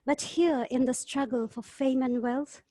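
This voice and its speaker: Female poetry-group member, higher-pitched, on a video call with a very dry, echo-free sound. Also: fairly even intonation, unhurried, mellow. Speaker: poetry group member reading a chosen poem. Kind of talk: casual discussion and poetry recitation at an online gathering.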